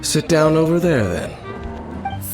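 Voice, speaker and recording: An actor playing an aloof, brooding, mysterious young wanderer in a video game, terse and guarded. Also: male voice, a little high, very animated, slow pace. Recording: echoey, distant, music behind.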